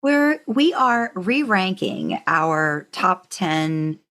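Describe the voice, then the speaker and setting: A woman with a slightly low voice talks casually as a podcast co-host. Her intonation is highly animated, moving widely up and down as she chats. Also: drawn-out words. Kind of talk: casual chat.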